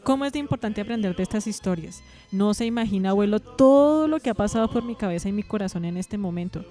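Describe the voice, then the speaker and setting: A woman's voice: medium pitch, animated, fast, warm tone, soft background music, close to an everyday voice. A woman with a Colombian accent playing an attentive, affectionate granddaughter reacting expressively in a radio drama. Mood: moved, tender.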